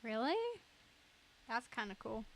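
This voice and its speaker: A woman's voice: a little high, steady tone, a little slow, noisy background. A young woman live streamer chatting casually in a giggly, rambling way.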